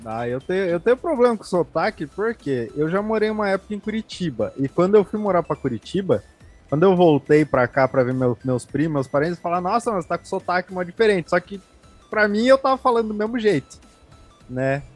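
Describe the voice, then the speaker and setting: A man's voice: high voice, lively intonation, a little fast, soft background music. A man with an interior São Paulo accent from the Sorocaba area, hosting a podcast in a laid-back, slangy, teasing way. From Sorocaba region, São Paulo state. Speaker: podcast host. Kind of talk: casual chat.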